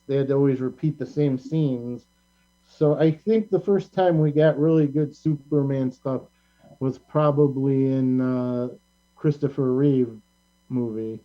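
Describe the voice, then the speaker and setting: A man's voice: mid-range voice, fairly even intonation. Rambling man hosting in dull lo-fi sound. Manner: rambling, opinionated, halting. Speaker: talk show host. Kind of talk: casual pop culture discussion. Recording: band-limited, dull lo-fi sound.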